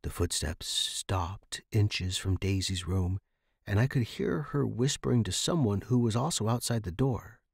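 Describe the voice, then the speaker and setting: A man's voice: on the low side, measured pace, gravelly, breathy edge, dry recording, studio quality. A man reading scripted first-person suspense fiction as a podcast narrator.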